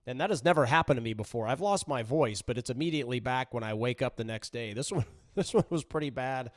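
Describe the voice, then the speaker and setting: A man hosting his own podcast in an upbeat, energetic manner, his voice still slightly raspy from a recent voice loss. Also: mid-range voice, fast, dry recording, clean studio sound. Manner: upbeat, energetic, lots of shout-outs. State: recovering from voice loss. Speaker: podcast host. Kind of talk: casual podcast monologue.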